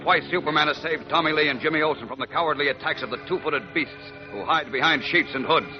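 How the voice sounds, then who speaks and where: Suspenseful American radio narrator over loud music. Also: male voice, fairly high pitch, fast talker. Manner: grave, emphatic, suspenseful. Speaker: serial narrator. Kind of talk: dramatic 1940s radio narration. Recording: dull lo-fi 1940s radio recording. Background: loud dramatic music.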